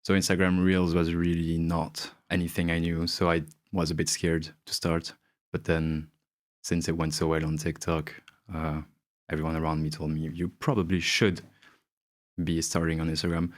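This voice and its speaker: Young man from Belgium and Chile, very low voice, relaxed guest chat, giggly, filler words. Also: moderate pace. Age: 20s. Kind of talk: casual interview chat.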